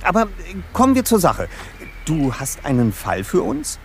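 Pompous, animated boy detective. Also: fairly high pitch, moderate pace, a little hiss or hum. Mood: businesslike, curious. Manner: precise, formal, businesslike. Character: pompous, composed teenage boy lead detective. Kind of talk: German audio drama acting.

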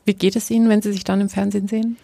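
A woman's voice: mid-range voice, level tone, very fast, gravelly, warm tone, no echo. A woman hosting an interview, asking friendly, polite questions with some fillers. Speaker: interviewer, podcast host.

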